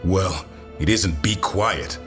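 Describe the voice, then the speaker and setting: A man's voice: low-pitched, slow pace. An actor playing an exasperated, wry man in a video game, dry and put-upon in manner. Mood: wry exasperation. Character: exasperated, wry male companion wrangling noisy animals. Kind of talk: video game character acting. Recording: loud music behind.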